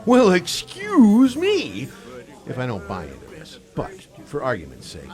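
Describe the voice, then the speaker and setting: A man's voice: fairly high pitch, huge pitch swings, a little slow, darkish tone, faint music behind. A man playing a wisecracking, skeptical hunter in a radio play, mocking and sarcastic. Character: wisecracking skeptical hunter teasing his friend.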